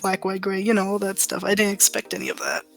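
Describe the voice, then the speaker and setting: A woman chatting casually as a guest, retelling things in an animated, slangy way with acted-out quotes. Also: mid-range voice, quick pace, gravelly, soft background music. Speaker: guest, series participant. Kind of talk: casual chat.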